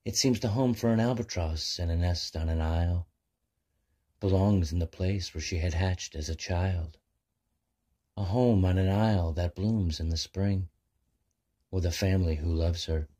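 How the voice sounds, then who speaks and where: Deep, husky male bedtime storyteller. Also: mellow. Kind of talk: rhyming children's bedtime story narration.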